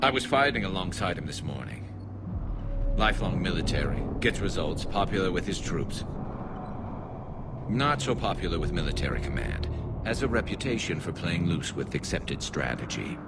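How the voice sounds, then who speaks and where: Deep, lively alien soldier. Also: male voice, normal speed, very noisy. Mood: serious. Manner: dry, confident. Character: turian alien soldier, loyal, wry, battle-hardened military advisor. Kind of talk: video game cutscene acting. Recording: loud music behind the voice.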